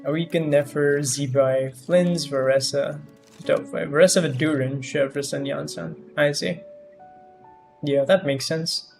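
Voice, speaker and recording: A man's voice: a little high, steady tone, measured pace, creaky, a little mellow. Rambling man streamer over game music. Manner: rambling, thinking aloud. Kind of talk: casual livestream gameplay commentary.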